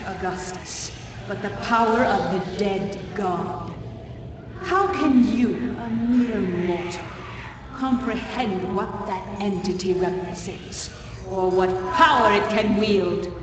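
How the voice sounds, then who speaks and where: Imperious eldritch deity: slow, menacing, archaic horror game delivery, drenched in distant reverb. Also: female voice, medium pitch, animated. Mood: haughty doubt. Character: imperious, ominous ancient godlike being, distrustful of mortals. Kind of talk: theatrical horror game acting. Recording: cavernous reverb, distant, heavy ambient noise.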